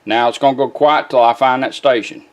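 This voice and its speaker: A man's voice: mid-range voice, steady tone, fairly quick. A plain-spoken, folksy man giving a hands-on how-to demonstration. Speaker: product demonstrator. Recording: music from a radio playing behind.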